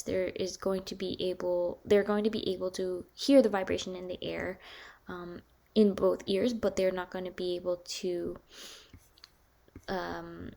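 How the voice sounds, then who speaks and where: A woman lecturing casually on hearing and balance, with a higher voice and many fillers and restarts. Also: a little mellow. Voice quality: higher-pitched voice.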